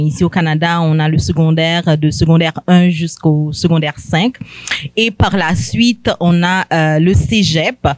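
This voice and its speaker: A woman's voice: lowish voice, a little mellow, dry recording. A young woman from Haiti, a guest telling her story in a hesitant way, with many fillers. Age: young adult. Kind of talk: hesitant personal testimony.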